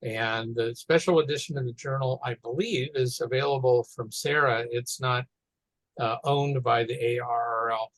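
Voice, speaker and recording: A man commenting casually on a teleconference, talking in a halting, rambling way. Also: medium pitch, fairly even intonation, a bit bright, no echo, low-quality recording. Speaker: meeting participant.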